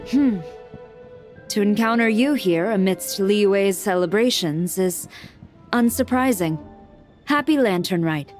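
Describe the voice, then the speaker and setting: A woman playing a reserved, composed video game character who speaks in a formal, measured and terse manner. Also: mid-range voice, expressive, soft background music.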